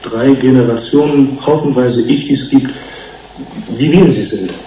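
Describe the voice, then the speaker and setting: An actor playing a bitter, estranged male fish-human hybrid in a German radio drama, speaking deliberately and accusingly. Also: mid-range voice, mellow, far from the mic, close to an everyday voice. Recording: roomy echo, background noise.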